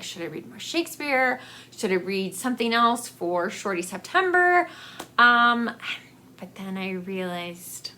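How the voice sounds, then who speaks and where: A woman's voice: fairly high pitch, pushed and bright, slight background noise. An American woman talking casually to her book vlog viewers in a rambling, self-deprecating, confiding way. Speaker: book vlogger.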